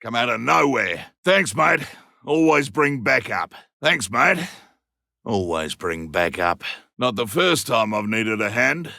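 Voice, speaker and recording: An actor playing a rugged, cocky monster hunter in a video game, a man with a rough gravelly Australian voice and a blunt, forceful way of calling out. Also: mid-range voice, lively intonation, slow, no echo, studio quality, heavily acted. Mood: calm, wry. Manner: colloquial, blunt, forceful delivery. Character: gruff male monster hunter, rugged, cocky, seasoned trapper. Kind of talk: video game combat barks and callouts.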